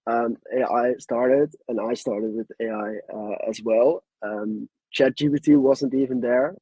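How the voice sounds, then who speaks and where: Dutch guy giving a webinar talk, husky mellow voice, lots of uhs, dry close sound. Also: medium pitch, steady tone, a little slow, no echo, poor audio. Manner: casual, hesitant with frequent fillers. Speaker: guest presenter. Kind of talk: webinar presentation.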